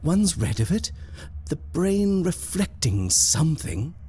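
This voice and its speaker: A jittery haunted husband whose voice rises above the storyteller's usual low register, as a man puts him on. He sounds tense and clipped, an uneasy man in a storybook ghost tale. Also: big pitch swings, slow, warm tone, husky, full character voice. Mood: self-reassuring. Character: anxious married man haunted at night. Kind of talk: storybook character voice.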